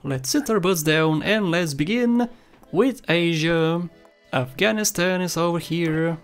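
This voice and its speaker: A man's voice: on the high side, unhurried, clean tone. Bulgarian-accented young man joking through a game. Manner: jokey, rambling, laughs at his own jokes. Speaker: gameplay commentator. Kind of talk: playful walkthrough commentary. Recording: faint game music underneath.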